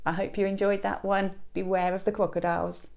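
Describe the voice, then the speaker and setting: A woman in her thirties reading a children's picture book aloud like a teacher, with expressive emphasis and dramatic pauses. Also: mid-range voice, vocal fry. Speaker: teacher reading to a young class. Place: small classroom, indoors. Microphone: clip-on lapel mic.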